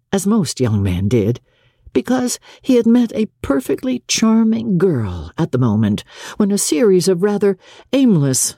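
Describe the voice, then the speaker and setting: Expressive woman narrator with husky, mellow voice. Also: low-pitched, no echo, clean studio sound. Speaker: narrator of a classic novel. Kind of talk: dramatic scripted audiobook narration.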